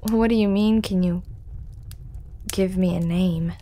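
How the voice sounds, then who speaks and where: A performer voicing a shy, hooded male storyteller, centuries old, who speaks softly with lots of hesitant stammering and whispers in an audio roleplay. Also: medium pitch, fairly even intonation, takes it slowly. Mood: bashful. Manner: hesitant and stammering, many ums and restarts; soft-spoken, often whispering.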